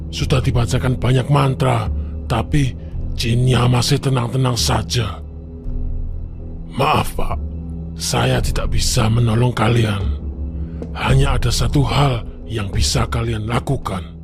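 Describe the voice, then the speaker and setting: A man plays a grave, ominous shaman in a cartoon drama. His medium-pitched voice crackles with gravelly creak as he speaks solemnly. Music is clearly present underneath. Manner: solemn, foreboding.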